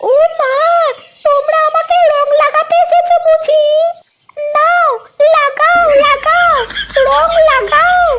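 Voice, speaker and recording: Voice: very high-pitched, level tone, slow, noisy background, heavily acted. A voice actor playing a scolding, whiny cartoon housewife or granny in a comedy dub, squawking in an exaggerated way. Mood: coy, excited.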